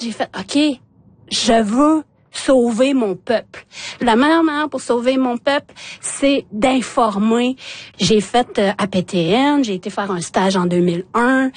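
A woman in her forties with a Quebec French accent, her first language Innu, recounting her path into journalism with feeling. Her voice sits a little high and comes across dry and close. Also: measured pace, dry recording. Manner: emotional, animated storyteller. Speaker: journalist guest. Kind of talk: personal storytelling in conversation.

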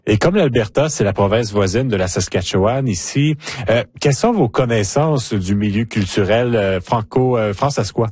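A man, a radio host asking measured interview questions. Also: lowish voice, a little mellow, slightly rough, no echo.

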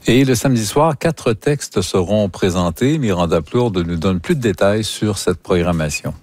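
Low-voiced Quebec man presenting on radio. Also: lively intonation, normal speed, darkish tone, no room sound, studio quality. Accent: Quebec French. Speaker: radio host. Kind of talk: radio arts news presentation.

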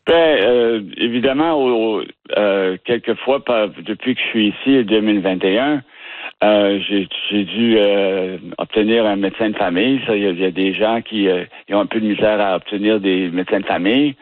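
Halting Canadian French man on phone. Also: lowish voice, dry recording. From Ottawa region, Canada. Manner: halting, often restarts words while searching for them. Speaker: interview guest. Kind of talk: casual phone interview. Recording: tinny dry phone line.

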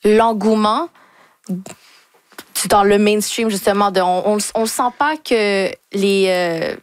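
Young Québécois woman, relaxed chat. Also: medium pitch, slow pace, smooth, no echo. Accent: Québécois French. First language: French. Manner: relaxed, mixes English words into French. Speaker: R&B singer, interview guest. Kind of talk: casual interview conversation.

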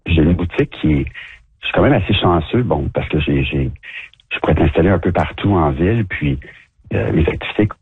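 A very deep, husky Quebec French man, a shop owner from Montreal, answers a radio host's questions. He talks haltingly, restarting and filling pauses as he goes. The sound is dry and narrow, like a phone line. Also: animated, no echo, soft background music. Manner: hesitant, many restarts and fillers. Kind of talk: radio interview guest.

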